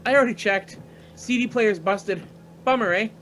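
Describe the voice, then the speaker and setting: An actor playing an eager, carefree young student pilot in a naturalistic radio play, boyish, slangy and enthusiastic. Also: male voice, sits high, moderate pace, fairly bright tone, slight background noise, low-quality recording. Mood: chatty, upbeat. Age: young adult.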